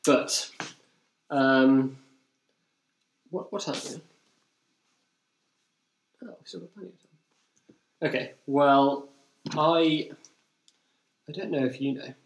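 A man's voice: mid-range voice, slow pace, long pauses. A young man with an English accent, telling anecdotes in casual podcast chat. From England. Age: young adult. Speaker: podcast host. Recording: roomy, echoing indoor recording.

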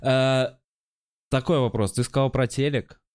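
A man hosting a casual interview, asking relaxed questions with fillers. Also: medium pitch, unhurried. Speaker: host.

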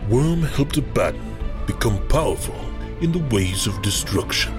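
An actor playing a commanding demon lord in an audio drama, with a grave, measured delivery. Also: male voice, on the low side, big pitch swings, over music. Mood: grave, solemn. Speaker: narrator and villain in an audio drama.

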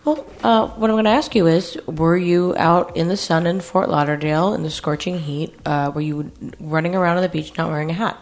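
Woman as low-voiced, scolding doctor. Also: close to an everyday voice. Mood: stern. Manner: deliberate, lecturing, folksy. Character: blunt, scolding, condescending female beach-town doctor. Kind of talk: improvised comedy radio theater.

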